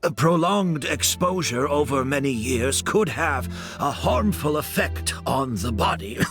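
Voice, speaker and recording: An actor playing a weary conspirator in a video game, confessing gravely with heavy, halting delivery. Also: male voice, fairly high pitch, animated. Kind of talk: dramatic video game voice acting. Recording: game dialogue over background music.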